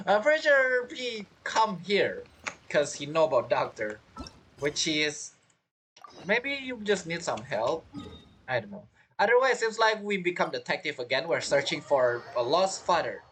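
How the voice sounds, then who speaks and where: A man's voice: on the high side, sing-song, measured pace, fairly bright tone, slight background noise, poor audio. A man giving casual playthrough commentary as a gaming streamer, chatty and musing aloud.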